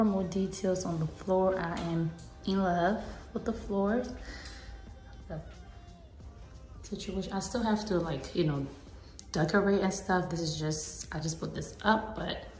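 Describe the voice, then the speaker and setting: A woman vlogger giving a casual home tour in a gushing manner with drawn-out emphasis, recorded on a handheld phone in a bathroom. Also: on the low side, a little mellow, soft background music. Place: small tiled bathroom indoors, being remodelled. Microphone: handheld phone behind the camera. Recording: echoey bare bathroom.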